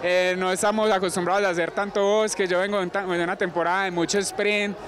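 A young man, giving an energetic post-race interview outdoors on a handheld mic. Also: high-pitched, fast. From Colombia. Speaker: orienteering athlete. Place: outdoor sports field in a town.

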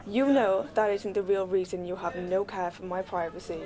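A woman's voice: mid-range voice, steady tone, normal speed, warm tone, lightly acted. A young woman playing a frustrated grown-up daughter who argues for her independence in radio-play drama. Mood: exasperated. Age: young adult. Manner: exasperated, argumentative. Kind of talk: low-key dramatic radio-play dialogue.

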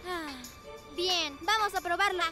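Casting: confident kid inventor motorbike for animation, tiny high-pitched voice, over loud music. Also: female voice, a little slow. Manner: eager, self-assured. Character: confident, inventive young girl motorcycle, proud of her gadgets. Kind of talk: animated children's cartoon dubbing.

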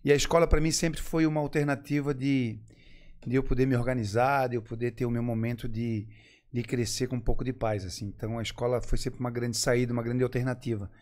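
A middle-aged man with a Florianópolis accent, a podcast guest telling stories in a playful, joking way, recorded in a small studio on a boom mic. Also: steady tone, brisk, darkish tone. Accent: Florianópolis Portuguese. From Florianópolis, Brazil. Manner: playful, jokey, reflective asides. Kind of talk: casual storytelling. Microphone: headset with boom mic.

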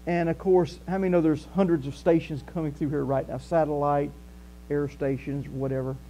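A man's voice: on the high side, a little fast. An older man with a Southern US accent, preaching a conversational sermon as pastor in a small church hall. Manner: conversational preaching, animated gestures, walks the stage. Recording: faint mains hum.

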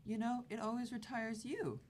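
A man voicing a greedy young daughter of a mafia boss in a high, whiny voice. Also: fairly even intonation, moderate pace.

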